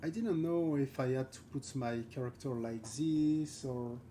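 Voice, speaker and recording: A man from France with a French accent, thinking aloud and chatting with viewers while he paints live, halting and searching for words. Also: medium pitch, warm tone, slight background noise. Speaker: concept art streamer. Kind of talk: live art stream chat.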